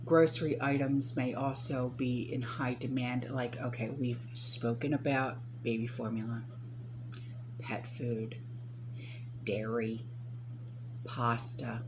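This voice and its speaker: A woman's voice: a bass voice, takes it slowly, pauses often. A woman hosting a prepping channel, chatting casually to viewers and often checking in with "okay?" and "right?". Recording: steady hum behind the voice.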